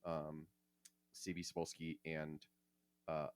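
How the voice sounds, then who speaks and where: Low-voiced man co-hosting a podcast. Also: completely flat delivery, slow pace, clear, resonant tone. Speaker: podcast co-host. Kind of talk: casual explanatory chat.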